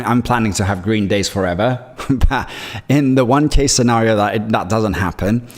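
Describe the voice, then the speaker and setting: A man's voice: lowish voice, big-room sound. A man who grew up in Greece, with Greek as his first language, streaming live and talking through his trades in a rambling way, reading chat messages as he goes. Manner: rambling, reads chat messages aloud, many fillers and restarts. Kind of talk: live-stream trading commentary.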